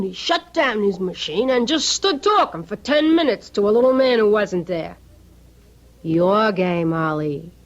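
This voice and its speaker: An actress playing a sharp-tongued, suspicious American working-class wife, blunt and scolding, in an old-time radio drama. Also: female voice, a little high, lo-fi sound.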